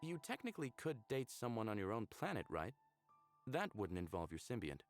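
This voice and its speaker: An actor playing a concerned, curious young man in a visual novel, with an expressive, earnest delivery. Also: fairly low pitch, normal speed. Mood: curious, probing. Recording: music under the voice.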